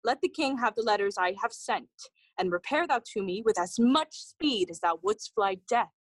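A woman's voice: medium pitch, lively intonation, moderate pace, no room sound, natural voice. A woman playing an earnest, loyal young scholar, reading dramatically in a classical audio play. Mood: earnest, intent.

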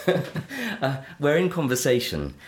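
A man's voice: on the high side, wildly swooping pitch, takes it slowly. A middle-aged man, a Church of England vicar, hosting a theology podcast and speaking in an earnest, articulate way. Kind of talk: conversational theological discussion.

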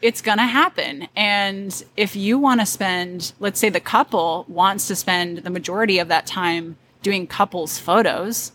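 Female guest, middle-pitched, upbeat and confident, quick laughs, light noise behind. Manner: animated, laughs often, loud confident delivery. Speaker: wedding photographer guest. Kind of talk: casual conversational interview.

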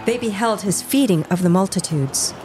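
A woman's voice: mid-range voice, expressive, moderate pace, over music. A woman narrating a scripted religious story in a storytelling style.

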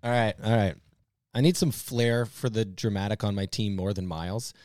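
A man hosting a podcast in casual, joking banter, talking emphatically with drawn-out stresses. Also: lowish voice, steady tone, a little mellow, no room sound, clean studio sound. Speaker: podcast host. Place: podcast recording space.

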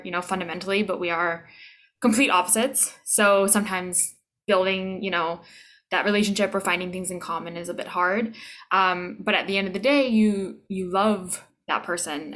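A woman's voice: on the low side, a bit bright. A woman in her twenties with a Canadian accent, talking casually and reflectively as an interviewed voice actor, sometimes searching for words. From Toronto, Canada. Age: 20s.

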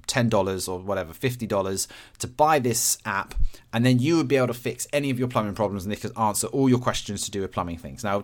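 Low-voiced man explaining things casually. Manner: plain-spoken, explaining in simple everyday terms. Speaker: podcast host. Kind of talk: casual explanatory podcast monologue.

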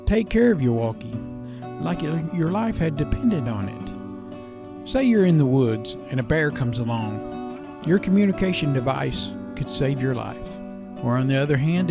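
A man's voice: medium pitch, gravelly. A man in his fifties giving a devotional talk as a podcast host, in a folksy, plain-spoken way with emphatic short phrases. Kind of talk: devotional talk with personal childhood stories. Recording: guitar music behind the voice.

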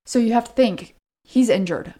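Mellow-voiced woman telling a true crime story. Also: on the high side, moderate pace. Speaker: true crime podcast co-host. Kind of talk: conversational storytelling of a crime case.

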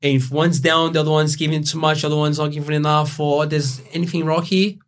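Laid-back young Brazilian-born man, fairly high voice. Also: little pitch movement, fast talker. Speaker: interview guest. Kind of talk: candid storytelling.

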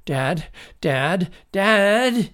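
A man voicing an excited, frightened young boy with an urgent, stammering delivery in a story. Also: fairly high pitch, slow, creaky, husky, pitched above the performer's own voice, exaggerated voice. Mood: alarmed. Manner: urgent, stammering, gasping exclamations.

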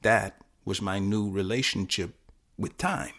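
A man playing a confused, fatalistic teenage boy who narrates his own short story in halting, self-correcting fragments. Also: lowish voice, fairly bright tone. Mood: nervy, muddled. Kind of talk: first-person dramatic short-story performance.

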